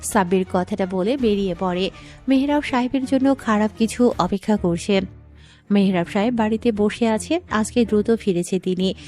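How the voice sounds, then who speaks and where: A woman narrates a scripted audio story in a middle-pitched voice. Music plays softly behind her narration. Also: moderate pace.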